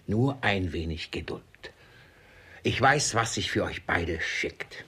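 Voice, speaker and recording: An actor playing a miserly, suspicious, irascible old father in a classic comedy radio play, with a raspy voice and a grumbling, blustering manner. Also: sits low, expressive, slow, bright, forceful tone, heavily acted. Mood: brusque, controlled.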